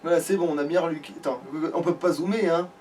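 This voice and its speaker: A man's voice: on the high side, fairly even intonation, fast, darkish tone. A man playing a video game and chatting casually about it, with crude, slangy language and swearing. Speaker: let's play gamer. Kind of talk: casual gaming chat. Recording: distant mic with faint background noise.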